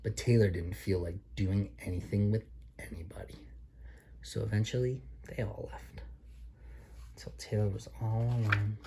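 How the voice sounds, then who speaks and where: A man reading a children's picture book aloud as a father to his child, expressively and with drawn-out emphasis. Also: lowish voice, fairly even intonation, dark tone, a little hiss or hum.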